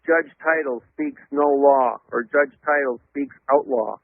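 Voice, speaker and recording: A man speaking as an interview guest, explaining at length in an emphatic, didactic, loud voice. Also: medium pitch, a little slow, gravelly, no echo. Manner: emphatic, didactic, spells out words letter by letter. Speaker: interview guest, legal theorist. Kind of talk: explanatory radio interview monologue. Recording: dull, lo-fi radio or phone sound.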